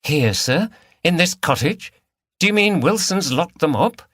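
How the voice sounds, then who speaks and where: A man's voice: a little high, lively intonation, unhurried, fairly bright tone, no room sound, clean studio sound, voice pushed up. A man voicing an inquisitive, respectful young female police detective in a mystery audiobook. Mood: surprised, curious.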